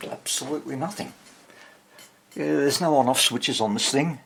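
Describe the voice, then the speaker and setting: A man giving casual hands-on repair narration, haltingly muttering to himself as he works and thinks aloud. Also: mid-range voice, vocal fry, fairly bright tone, slightly rough. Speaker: hobbyist radio restorer, presenter.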